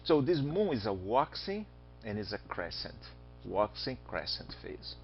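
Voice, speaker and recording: A man's voice: lowish voice, big pitch swings, unhurried, creaky, a little hiss or hum. A man from Brazil with a Brazilian Portuguese accent, teaching astronomy in a chatty recorded lecture, quizzing his students and making joking asides. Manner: chatty, asks students questions, jokes aside. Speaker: astronomy instructor. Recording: dull lo-fi voice recording.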